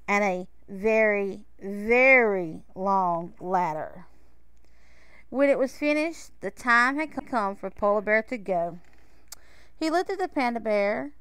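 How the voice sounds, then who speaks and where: A woman reading a children's picture book aloud in a measured, expressive way, with pauses. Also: on the high side, clean tone, dry recording. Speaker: storybook reader.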